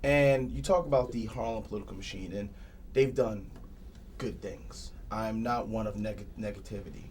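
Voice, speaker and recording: A man's voice: on the low side, normal speed, darkish tone, slight background noise. A man, a candidate making his case earnestly in a panel discussion. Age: 20s. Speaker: council candidate guest. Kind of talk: political discussion.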